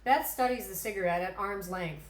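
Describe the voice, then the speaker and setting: A woman's voice: medium pitch, moderate pace. Woman narrating screenplay in echoey room. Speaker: narrator reading stage directions. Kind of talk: scripted narration, screenplay table read. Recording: echoey room, background noise.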